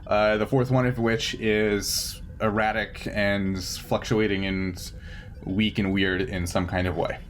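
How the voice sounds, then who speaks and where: A man's voice: fairly low pitch, little pitch movement, slow. Casual male game master, noisy recording. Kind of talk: improvised tabletop game narration, casual.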